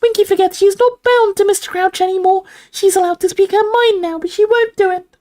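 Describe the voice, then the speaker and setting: A woman voicing an eager, servile little male house elf in a storybook, with a squeaky, shrill voice and broken grammar, speaking of himself in the third person. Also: steady tone, a little fast, a little mellow. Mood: confiding, earnest.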